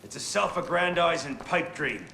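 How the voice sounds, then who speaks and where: A man's voice: a little high, animated, normal speed, creaky, bright, forceful tone, roomy sound, in a large hall, a little hiss or hum, exaggerated voice. An actor playing a cynical, scornful male assassin in a video game, with a dry, sardonic delivery.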